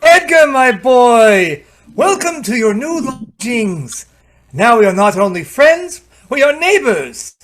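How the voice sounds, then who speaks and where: An actor playing an ailing but hearty and generous husband of fifty-five in an old-time radio drama. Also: male voice, very high-pitched, sing-song, takes it slowly, fairly bright tone, full character voice. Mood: jovial.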